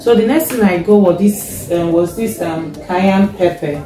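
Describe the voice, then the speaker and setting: A young Nigerian woman with a Nigerian accent, casually showing her grocery haul, taking her time, checking a receipt and often pausing with fillers. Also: lowish voice, warm tone, slight background noise. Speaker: vlogger. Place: home kitchen, indoors. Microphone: fixed camera in front. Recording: echoey kitchen, faint music.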